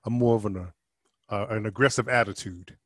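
A man's voice: fairly low pitch, normal speed, fairly bright tone, no room sound, clean studio sound. A man co-hosting an interview, asking long, loosely built questions.

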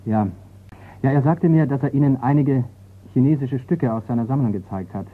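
An actor playing a courteous, persistent insurance investigator in a radio crime play. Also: male voice, mid-range voice, moderate pace, slightly rough, close to an everyday voice. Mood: polite, probing. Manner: courteous, probing. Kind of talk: German radio drama acting. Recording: tinny, noisy radio recording.

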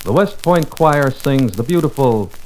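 An American man giving formal, measured live commentary as a 1950s radio announcer. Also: medium pitch, expressive, darkish tone. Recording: noisy old 1950s acetate radio recording.

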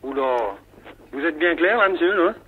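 A man's voice: on the high side, normal speed, slight background noise. A man on a telephone line, speaking as a polite, procedural police switchboard officer asking questions. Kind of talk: official phone call, questioning. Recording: narrow, tinny phone line.

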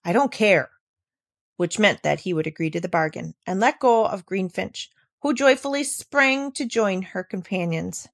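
A woman's voice: medium pitch, moderate pace, hardly any pauses, no room sound, clean studio sound. Woman reading a classic novel aloud. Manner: steady, clear storytelling. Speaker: reader. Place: at a table with a book.

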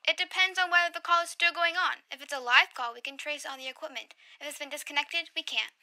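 Bright, high-voiced, officious actress as chief operator. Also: female voice, fast, full character voice. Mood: measured. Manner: polite, procedural. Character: formal, skeptical chief telephone operator, calmly bureaucratic. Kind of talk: radio drama acting.

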